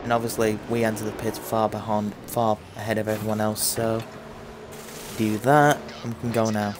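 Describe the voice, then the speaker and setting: A hoarse young man giving a chatty, rambling play-by-play of a racing game. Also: lowish voice, flat delivery, normal speed, background noise. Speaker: gaming commentator. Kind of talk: casual racing game commentary. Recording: loud game music underneath.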